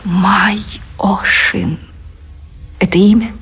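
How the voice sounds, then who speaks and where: A loving gentle woman in a radio play, super breathy half-whispered voice. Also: mid-range voice, fairly even intonation, extremely slow, full character voice. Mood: tender, curious. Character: tender, devoted woman in love with the admiral. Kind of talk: intimate radio drama acting.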